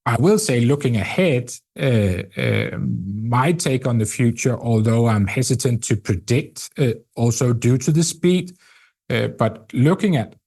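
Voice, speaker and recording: A middle-aged Danish man speaking English with a Danish accent, a company CEO answering interview questions in a halting way, repeating words and filling pauses. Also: mid-range voice, expressive, creaky, darkish tone. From Denmark. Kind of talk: interview conversation.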